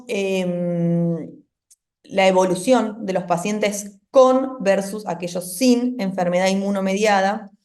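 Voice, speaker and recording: A woman's voice: mid-range voice, a little mellow. A woman with an Argentine accent, a rheumatologist in her thirties, answering questions on a video call with frequent fillers and restarts. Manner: thoughtful, many fillers and restarts. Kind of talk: answering questions in an online medical seminar.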